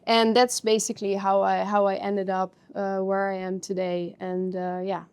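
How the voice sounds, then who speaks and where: A woman's voice: medium pitch, moderate pace, clean tone. A woman from the Netherlands with a Dutch accent, talking frankly and casually on a podcast about her career, with plenty of fillers. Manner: frank and animated, with many fillers and laughing asides. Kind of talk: casual conversational interview.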